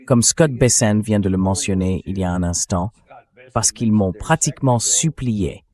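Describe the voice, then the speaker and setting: Low-voiced man hosting a political interview. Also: normal speed, darkish tone. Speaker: interview host. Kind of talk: political interview and news commentary, dubbed translation.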